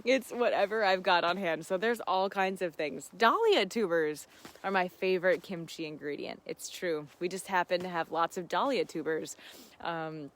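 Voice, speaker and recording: Expressive, enthusiastic woman, slightly low voice. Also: normal speed. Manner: expressive, giggly, enthusiastic, informal. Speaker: seed farmer hosting a live gardening Q&A. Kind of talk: casual livestream chat, answering viewer questions.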